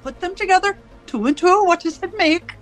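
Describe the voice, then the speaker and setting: A woman playing a cold, domineering interrogator in a radio drama, speaking in deliberate, commanding, rhetorical questions and pronouncements. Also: very high-pitched, faint music behind. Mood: stern, pressing. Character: cold, domineering party interrogator and torturer, righteous and controlling. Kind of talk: theatrical radio drama acting.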